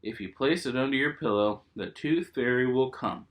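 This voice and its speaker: A man voicing a gentle, reassuring mother pig in a storybook, explaining things calmly and patiently. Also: mid-range voice, moderate pace, lightly acted.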